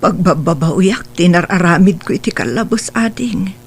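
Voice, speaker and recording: A woman's voice: lowish voice, expressive, moderate pace. A woman playing a middle-aged, remorseful mother in an audio drama, speaking plaintively and earnestly with an Ilocano accent. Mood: remorseful, pleading. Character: remorseful mother pleading for her estranged son's forgiveness. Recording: music bed behind the voice.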